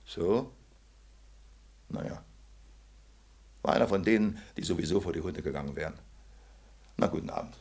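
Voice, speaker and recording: An actor playing a complacent, coldly dismissive older army colonel with a husky voice, muttering offhand in a 1940s radio play. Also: male voice, low-pitched, moderate pace, pauses often, darkish tone. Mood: cold indifference. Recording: vintage 1940s radio recording.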